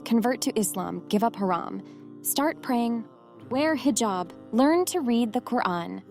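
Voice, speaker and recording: Woman announcer, earnest read, slightly high, faint music behind. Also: a little mellow. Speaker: channel announcer. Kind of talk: read appeal for donations.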